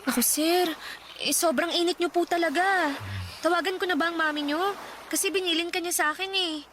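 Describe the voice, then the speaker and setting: Young housemaid girl in a radio drama, high voice, super polite n caring, noisy track. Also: fairly even intonation, fairly quick, a bit bright. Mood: worried. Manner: polite, hesitant, fussing. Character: eager, caring, respectful eighteen-year-old housemaid. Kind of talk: Tagalog radio drama acting.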